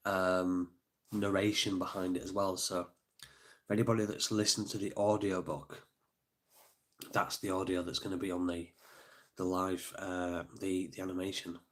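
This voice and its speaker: A middle-aged man hosting a casual live stream, a bit bunged up and sniffly, thanking viewers by name with plenty of ums. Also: deep voice. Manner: chatty, thanks viewers by name, many fillers. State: congested, sniffly.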